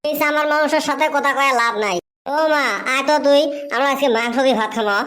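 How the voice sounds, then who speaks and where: A woman's voice: sits high, fast talker, fairly bright tone. A woman voicing a cheeky, defiant village child in a comedy cartoon, complaining and bossy in a rural northern Bengali border dialect. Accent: rural northern Bengali dialect of the Assam-Bengal border.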